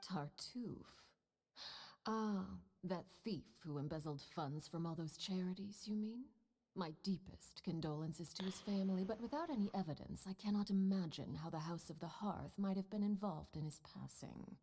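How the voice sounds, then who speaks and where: A composed, calculating matriarch, played by a woman in a game, with a slightly low voice. She speaks in smooth, measured phrases that hide quiet threats. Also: slight background noise, close to an everyday voice. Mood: cool.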